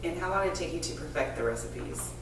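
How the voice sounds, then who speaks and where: A woman's voice: low voice, lively intonation, fast. A woman interviewing a business owner, asking friendly questions off camera. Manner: friendly, briefly directing the shoot. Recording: distant, very echoey room with noise.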